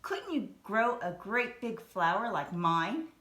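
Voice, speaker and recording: A woman's voice: mid-range voice, big pitch swings, slow, sharp tone, heavily acted. A woman reading the part of a cocky, boastful young boy in a storybook, teasing and incredulous. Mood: teasing disbelief. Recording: roomy echo, some background noise.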